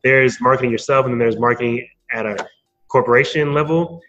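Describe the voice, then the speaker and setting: Male podcast guest, informal and halting, mid-range voice, slightly muffled lo-fi sound. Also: medium pitch, fairly even intonation, a bit bright, faint music behind. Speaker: podcast guest, marketing speaker. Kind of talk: casual interview conversation.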